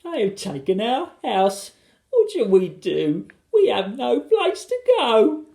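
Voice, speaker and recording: Storybook mother played by a narrator: tiny anxious woman, raised pitch, fretful exclamations, excitable temper. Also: unhurried, echoey room, exaggerated voice. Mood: sobbing, distressed.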